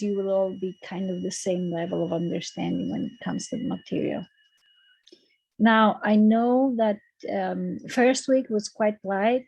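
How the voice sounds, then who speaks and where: A woman lecturer with a Greek accent giving online class announcements, repeating words and filling pauses with um and okay. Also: mid-range voice, mellow, no echo, low-quality recording. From Greece. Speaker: university lecturer. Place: recorded video call. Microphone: computer mic on a video call.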